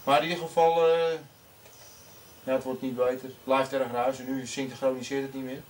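A man's voice: medium pitch, little pitch movement, normal speed. A man chats casually about technical things as a radio amateur. He speaks hesitantly and repeats himself. He sounds a little away from the microphone, with faint noise. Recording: slightly noisy, distant microphone.

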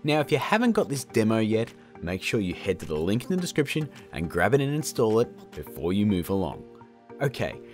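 A man in his thirties hosting a video tutorial in a casual, jokey style. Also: on the low side. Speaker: host of a video tutorial show. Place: small indoor studio room. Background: faint music.

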